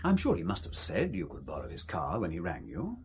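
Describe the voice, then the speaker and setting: An actor playing a condescending British spymaster in an audio drama, with an emphatic, patronising delivery. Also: male voice, low-pitched, wildly swooping pitch, a little fast, background noise, exaggerated voice. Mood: sly, suggestive.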